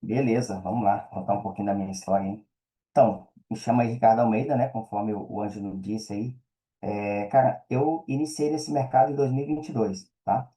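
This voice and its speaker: Very dark-voiced Brazilian man, casual storyteller. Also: lowish voice, fairly even intonation, a little fast. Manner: slangy, colloquial, full of tag questions. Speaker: podcast guest.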